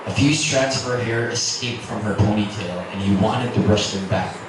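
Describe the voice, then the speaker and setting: Guy reading a love story out loud, narrator style, really echoey and far from the mic. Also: fairly low pitch, normal speed. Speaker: narrator, reader at a literary festival. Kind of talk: dramatic scripted narration of a romance novel. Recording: echoey, noisy room from far off.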